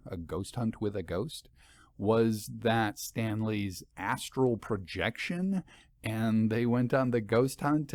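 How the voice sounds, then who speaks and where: A man's voice: fairly low pitch, a little mellow, dry recording, clean studio sound. A man hosting a story podcast, talking to listeners in a conversational, musing way with rhetorical questions. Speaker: podcast host and anthology editor.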